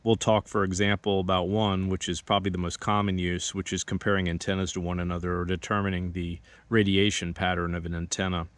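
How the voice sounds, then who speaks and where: Man, lowish voice, methodical, instructional, step-by-step equipment demonstration. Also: flat delivery, fairly quick, few pauses. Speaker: presenter of radio equipment tutorial. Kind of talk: explanatory product demonstration.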